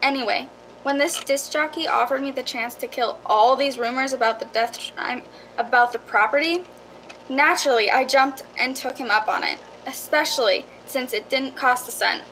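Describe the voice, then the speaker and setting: Radio drama property seller played by a woman: bright, high, chatty, persuasive, folksy. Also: normal speed, a little hiss or hum, poor audio. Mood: eager, reassuring. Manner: eager, reassuring, folksy salesmanship.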